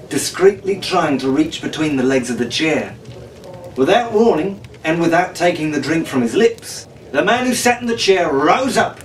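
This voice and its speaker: A man narrating an adventure story in a dramatic, scripted audiobook style. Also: on the high side. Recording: roomy, distant mic, faint noise.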